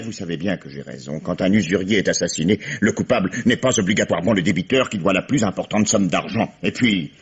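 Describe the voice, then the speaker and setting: An actor playing a sardonic, authoritative police commissioner in a 1950s-style French radio mystery, speaking in a measured, methodical way. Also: male voice, on the low side, breathy edge, full character voice. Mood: insistent. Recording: dull, muffled vintage-style radio sound.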